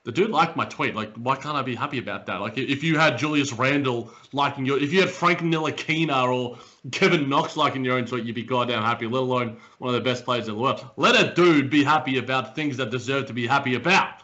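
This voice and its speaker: A man from Australia co-hosting a casual sports podcast, rambling with animated restarts and a slightly raspy morning voice, over a dull remote recording. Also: mid-range voice, brisk. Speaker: podcast co-host.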